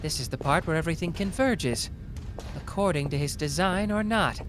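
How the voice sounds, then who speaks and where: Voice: medium pitch, normal speed, music in the background, close to an everyday voice. A performer playing a cocky, playful young male genius detective in an anime roleplay, taunting and analytical in a theatrical way. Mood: musing, calculating. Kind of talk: anime roleplay audio drama, deduction monologue.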